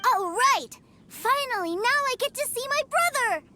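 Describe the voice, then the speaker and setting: A woman's voice: extremely high pitch, expressive, moderate pace, very noisy. A woman voicing a cheerful, stubborn little boy in a game cutscene, talking in an eager, sing-song way. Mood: gleeful. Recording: game cutscene with loud music. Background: game music.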